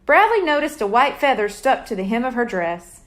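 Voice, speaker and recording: High, animated woman reading a children's story. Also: fairly quick. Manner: expressive. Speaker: children's audiobook reader. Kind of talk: scripted storybook narration.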